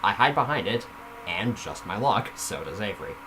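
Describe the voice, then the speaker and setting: A man with a fairly low voice reads a story aloud in an informal way, slipping between the text and his own critical commentary. The recording has clear background noise. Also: very animated, normal speed. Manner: informal, self-critical commentary while reading. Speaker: reader of their own story. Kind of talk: casual read-aloud of a story with off-script asides.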